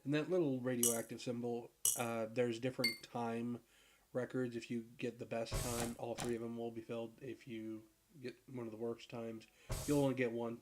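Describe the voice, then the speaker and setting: Sick deep-voiced man casually narrating gameplay. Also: moderate pace, background noise. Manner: relaxed, rambling, clears throat and laughs at himself. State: sick, hoarse from a cold. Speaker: gaming video creator.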